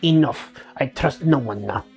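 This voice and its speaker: A woman voicing an earnest, suspicious Russian male juror in halting English with a Russian accent, for a game read-aloud. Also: extremely low pitch, lively intonation, creaky, a little mellow, faint music behind, pitched below the performer's own voice, full character voice. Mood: suspicious, stern. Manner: halting, clipped, dropped articles.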